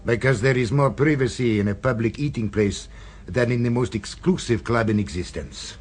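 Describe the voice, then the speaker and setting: An actor playing a suave, sinister restaurant owner in a radio drama, with a smooth, purring and insinuating delivery. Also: male voice, medium pitch, normal speed, a little mellow, natural voice. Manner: silky and insinuating, tags questions with "eh". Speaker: restaurant proprietor.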